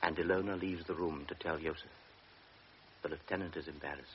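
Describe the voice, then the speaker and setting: A man narrating a radio drama in a measured, present-tense storytelling manner. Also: a bass voice, steady tone, husky. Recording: dull old radio recording with faint hiss.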